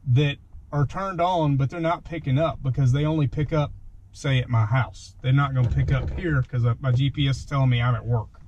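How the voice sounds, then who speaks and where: A man's voice: mid-range voice, mellow, lo-fi sound. A man talks casually and without a script inside a parked truck, explaining his gear step by step in a plain-spoken way. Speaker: vlogger demonstrating his gear. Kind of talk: casual unscripted product walkthrough.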